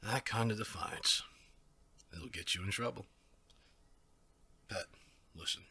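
A menacing male werewolf alpha for an audio drama, acted by a man. The voice is raspy and forceful, drawn out slowly with smug, mock-polite condescension. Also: low voice, exaggerated voice. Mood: condescending, veiled threat. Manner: slow, condescending, mock-courteous. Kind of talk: ASMR audio drama acting.

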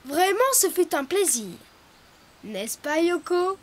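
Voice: extremely high pitch, sing-song, slow, full character voice. An actor voicing a cheerful, helpful little rabbit boy in a children's educational cartoon, explaining things in an encouraging way. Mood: eager, reassuring. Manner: encouraging, instructive. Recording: music behind the voice.

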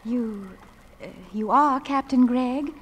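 A high-voiced, spirited genteel lady. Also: slow pace, a little hiss or hum. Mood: defiant, nervous. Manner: proper, polite, indignant when crossed. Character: genteel, spirited young mother standing her ground. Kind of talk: theatrical radio drama acting.

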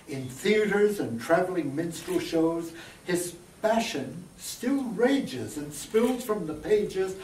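A man in his seventies reciting poetry at a lectern with deliberate, dramatic phrasing. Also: a little high, a little mellow. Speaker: poetry reader. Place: small meeting room, indoors. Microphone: lectern mic, filmed by distant camera. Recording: roomy, distant mic, background noise.

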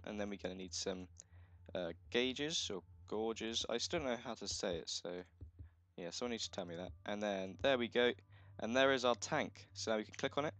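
A man with a croaky, just-woken voice, chatting casually through a gameplay video, thinking aloud and correcting himself as he goes. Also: lowish voice, fairly bright tone, dry recording. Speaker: let's play host.

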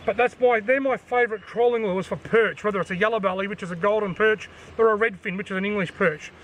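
A man's voice: sits high, steady tone, quick pace, fairly bright tone, a little hiss or hum. An Australian man in his forties, talking casually to camera outdoors at the edge of a forest, explaining things in a practical way and repeating the main points. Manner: chatty, practical, repeats key points for the viewer. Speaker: fishing channel host. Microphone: camera on a tripod at chest height.

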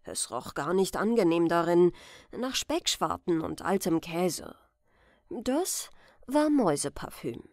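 Slow, expressive woman storyteller. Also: fairly low pitch, dry recording, clean studio sound. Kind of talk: scripted bedtime fairy-tale narration.

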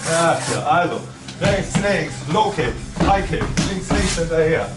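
A middle-aged man coaches a kickboxing session, brisk and full of jokes, calling out combinations and counts. His fairly high voice carries across a big echoing gym, picked up from far off by a camera. Loud music plays behind him. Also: expressive. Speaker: kickboxing trainer. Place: large indoor gym.

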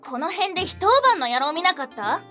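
A woman's voice: extremely high pitch, expressive, normal speed, sharp tone, soft background music, exaggerated voice. A woman voicing a hot-tempered, tomboyish cat yokai, brash and scolding, in a Japanese fantasy comedy voice drama. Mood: irritated. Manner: brash, rough-spoken, scolding.

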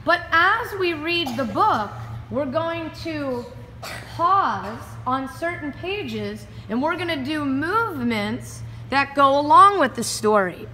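A woman's voice: sits high, expressive, slow pace, sharp tone, in a large hall, slight background noise. A woman PE teacher giving classroom instructions to children in a school gym, encouraging and coaching them. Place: school gymnasium.

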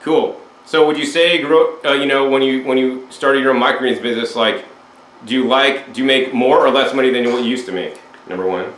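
A man's voice: medium pitch, brisk, fairly bright tone. Talkative male host in an echoey room. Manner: talkative, explains at length. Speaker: interviewer, show host. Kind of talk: casual interview. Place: home room with window, indoors. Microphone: lapel mic.

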